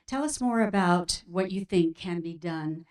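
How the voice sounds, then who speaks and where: An American woman moderating a panel discussion in a measured, encouraging way. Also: fairly low pitch, lively intonation, a little mellow. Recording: somewhat distant from the mic.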